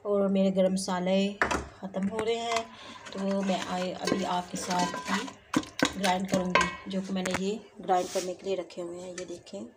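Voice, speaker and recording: A woman walks viewers through a home recipe in a relaxed, homely way, her pitch staying flat and even. She is filmed on a phone over the pot. Loud frying and stirring noise fills the kitchen recording. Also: moderate pace. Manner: homely step-by-step narration while cooking. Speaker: cooking vlogger. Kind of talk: home cooking demonstration. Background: frying, sizzling and stirring sounds.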